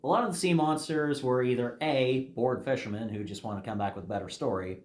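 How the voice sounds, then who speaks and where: A man co-hosting a casual podcast, speaking in a laid-back way with many fillers and quick questions. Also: medium pitch, darkish tone, echoey room. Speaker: podcast co-host. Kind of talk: casual chat.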